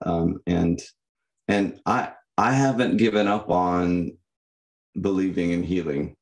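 A young man giving reflective, personal answers as a podcast guest, in a halting, thoughtful manner. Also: sits low. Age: young adult. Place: indoor room, on a video call. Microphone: condenser microphone.

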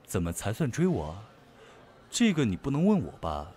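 A man's voice: mid-range voice, huge pitch swings, moderate pace, mellow. A man playing a self-assured male student in an audio drama, teasing and coaxing the one who likes him. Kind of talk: amateur Chinese audio drama acting.

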